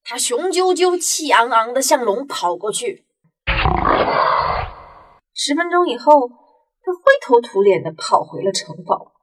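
A woman narrating a children's story in an expressive storytelling manner. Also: fairly high pitch, unhurried, long pauses, heavy background noise. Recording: music behind the voice.

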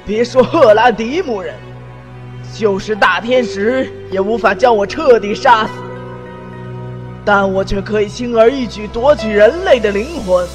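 A man's voice: high voice, measured pace. A man playing a boastful, hot-tempered demon king who rants pompously, in a fan audio drama. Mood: haughty. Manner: pompous, ranting, indignant. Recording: long hall reverb with music.

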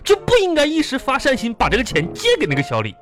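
A man's voice: extremely high pitch, huge pitch swings, fast talker, over music, exaggerated voice. A man playing a grumbling, evasive husband in a live radio comedy skit, rambling and whining in a Northeastern Chinese Mandarin accent. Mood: aggrieved storytelling. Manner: whiny, rambling, colloquial exaggeration. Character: grumbling, evasive husband spinning excuses to his wife. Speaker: radio comedy host and performer.

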